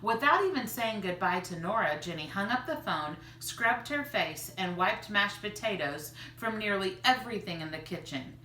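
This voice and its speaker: A woman's voice: medium pitch, expressive, moderate pace, few pauses, sharp tone. Woman reading aloud in a roomy space. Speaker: storyteller reading a picture book aloud. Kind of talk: expressive children's read-aloud. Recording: roomy, distant mic, faint noise.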